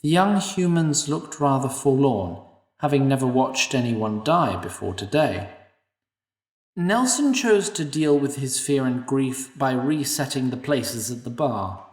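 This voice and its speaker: A male narrator who takes his time, his voice rising and falling in a lively way. He sits a bit back from the mic in a roomy space that echoes. The delivery is scripted. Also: medium pitch, measured pace. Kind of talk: scripted audio-drama narration. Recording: echoey room, distant mic.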